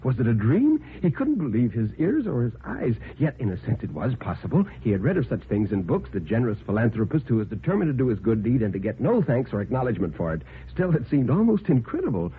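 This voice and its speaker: A man narrating a scripted 1950s radio horror story in a dramatic, deliberate manner. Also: mid-range voice, wildly swooping pitch, breathy edge. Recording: tinny, narrow-band 1950s radio recording. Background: background music.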